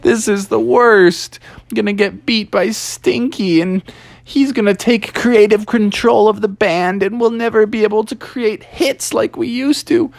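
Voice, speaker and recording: A storyteller voicing a worried underdog bear who frets out loud. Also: mid-range voice, a little slow, gravelly, no echo, voice pushed up. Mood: fretting.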